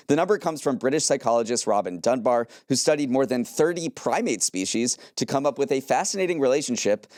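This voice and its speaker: A man's voice: mid-range voice, a little mellow, no echo. American man co-hosting, dry close sound. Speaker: podcast co-host. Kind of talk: scripted news recap with light banter.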